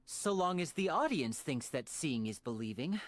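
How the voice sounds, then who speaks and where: A woman's voice: sits low, big pitch swings, moderate pace. A woman playing a charming young male stage magician from a video game, theatrical, coy and teasing. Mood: playful, teasing. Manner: theatrical, coy, smooth-talking. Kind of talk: video game character voice lines.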